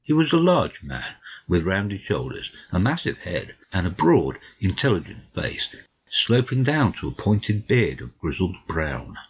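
A man with a very low, rough-edged voice narrates a classic story. His reading is expressive and varied in pitch, on a slightly dull recording. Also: unhurried. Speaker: audiobook narrator. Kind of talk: literary first-person narration in a dramatic reading.

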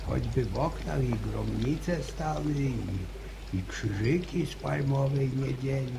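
An actor playing an old village grandfather who tells a slow, pious story in halting rustic speech, in a radio drama. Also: mid-range voice, gravelly, background noise. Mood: storytelling. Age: older. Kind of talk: 1960s radio drama acting.

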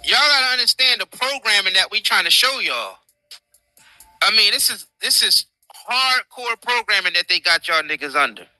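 An American man gives impassioned livestream commentary in a very sharp, forceful voice. He is emphatic and repeats himself in casual slang. Faint music plays behind his close, dry sound. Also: high-pitched, expressive, moderate pace, no room sound, rough recording. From United States. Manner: emphatic, repetitive, informal slang. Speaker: show host. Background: faint background music.

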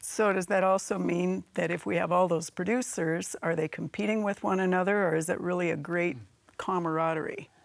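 A woman's voice: lowish voice, steady tone, fairly quick, gravelly, no room sound. A middle-aged American woman hosting and interviewing on a gardening show, recorded on a lapel mic in a studio. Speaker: TV host.

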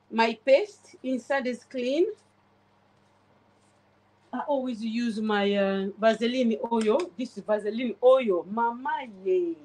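Chatty woman in her forties, livestreaming. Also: sits high. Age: 40s. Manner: chatty, warmly greets viewers by name. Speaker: livestreamer cleaning her oven. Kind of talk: casual live commentary while cleaning. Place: home kitchen, indoors. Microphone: phone camera pointed at the oven.

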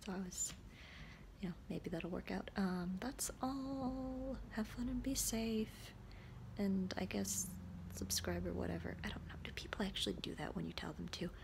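A young woman with a creaky voice, chatting casually and candidly to camera in a rambling vlog, recorded at arm's length in a small room. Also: medium pitch, normal speed, noisy background. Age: young adult. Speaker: vlogger. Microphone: phone or camera at arm's length.

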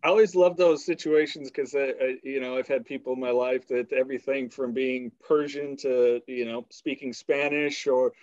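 A man's voice: medium pitch, fairly even intonation, normal speed, hardly any pauses, darkish tone, no echo, lo-fi sound. A man hosting a podcast interview, talking in long rambling turns with blunt, salty language. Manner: rambling, blunt, swears freely. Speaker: podcast host. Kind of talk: casual interview conversation.

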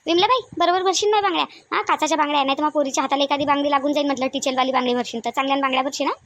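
Cartoon village auntie played by a woman: Varhadi Marathi accent, very high and bright, bossy and nagging. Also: fairly even intonation, racing. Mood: chatty, bossy. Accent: Varhadi Marathi of Vidarbha. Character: chatty, bossy village women at a wedding ritual. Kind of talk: cartoon comedy dialogue.

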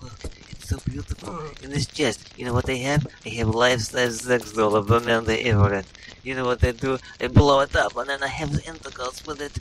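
A man's voice: mid-range voice, natural voice, poor audio. A man voicing a lewd, brazen woman adventurer in broken English, improvising over gameplay. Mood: seductive. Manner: breathy, leering, broken English.

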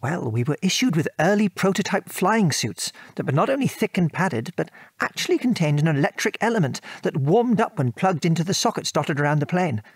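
A man's voice: fairly high pitch, very animated, a little fast, few pauses, slightly rough, no room sound. An actor playing an affectionate British father and former wartime air force officer, patiently reminiscing in an audio drama. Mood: fond reminiscing. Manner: chuckling, patient, old-fashioned British turns of phrase.

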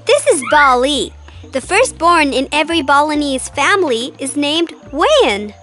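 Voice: high voice, sing-song, a little slow, exaggerated voice. A performer voicing a bold, earnest, knowledgeable little girl in a children's cartoon, emphatic as she explains. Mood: earnest, explaining. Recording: loud background music.

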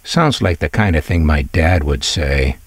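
Male presenter, scripted showcase read with wry asides, animated delivery, close dry sound. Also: extremely low pitch, measured pace, husky, no room sound. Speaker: presenter of vintage radio collection videos. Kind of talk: scripted narration, product showcase.

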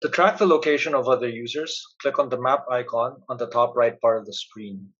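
A man giving a plain, instructional step-by-step narration as a software tutorial presenter. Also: mid-range voice, brisk, husky, dull audio.

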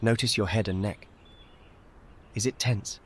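A man with a fairly low voice leads a guided meditation in a calm, measured way. His short instructions are gentle and soothing. Faint birdsong and outdoor nature sounds sit behind him. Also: slight background noise. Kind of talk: guided meditation, scripted narration.

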